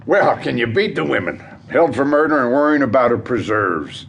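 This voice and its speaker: An actor playing a bluff, dismissive county sheriff in an audio drama, hearty and scoffing, with an American accent. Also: male voice, medium pitch, big pitch swings, normal speed, creaky, a bit bright, slight background noise. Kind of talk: audio theatre acting.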